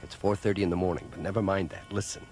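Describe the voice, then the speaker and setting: An actor in a science fiction audio drama playing a resolute, practical man leading an escape, brisk and commanding. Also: deep voice, steady tone, over music, close to an everyday voice. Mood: urgent, firm.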